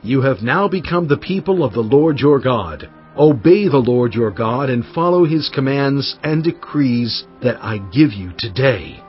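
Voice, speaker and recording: A man playing a solemn, commanding Hebrew prophet and lawgiver in a dramatized audio Bible, declaiming deliberately to his people. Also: medium pitch, lively intonation, lightly acted. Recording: dull band-limited audio over music. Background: background music.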